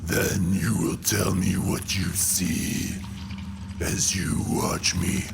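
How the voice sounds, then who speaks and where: A man voicing a sadistic alien god-killer villain, gravelly and menacing, taunting slowly and deliberately in a dramatic comic book reading. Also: flat delivery, exaggerated voice. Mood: cold menace. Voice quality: gravelly, rasping. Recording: loud rumbling ambient noise behind.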